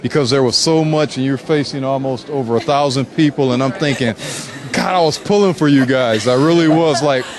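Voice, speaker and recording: A man's voice: medium pitch, brisk. A man hosting a casual interview, upbeat and enthusiastic with the performers. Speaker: show host interviewing performers. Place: outdoor reception. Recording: crowd chatter behind. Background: crowd chatter.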